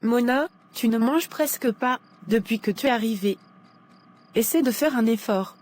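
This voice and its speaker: Woman acting a high-voiced, caring young friend. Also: moderate pace, darkish tone, slight background noise, natural voice. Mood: comforting. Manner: reassuring.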